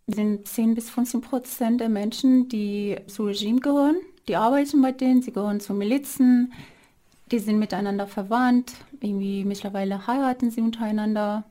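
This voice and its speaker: A woman's voice: fairly high pitch, steady tone, brisk, mellow. A woman from Iran with an Iranian accent, explaining things as a guest in an interview.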